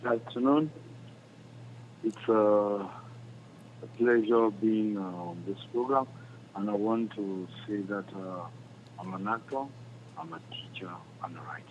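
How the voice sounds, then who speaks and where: A man, speaking as a radio interview guest and introducing himself in measured phrases. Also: on the low side, darkish tone. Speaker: interview guest, actor and teacher. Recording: muffled, noisy remote line.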